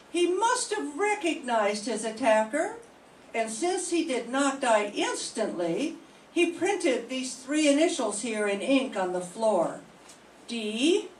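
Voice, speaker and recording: An older woman reading the part of a calm, shrewd police inspector in an old-time radio mystery, with deliberate, measured questioning. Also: fairly high pitch. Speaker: student in a radio drama class. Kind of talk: 1940s radio mystery acting. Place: classroom. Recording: echoey room, distant mic, faint hiss.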